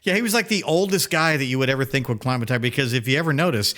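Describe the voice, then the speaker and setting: A man's voice: medium pitch, expressive, racing, a bit bright. A man co-hosting a podcast in a casual phone chat, joking and sarcastic with a salty tongue. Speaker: podcast co-host.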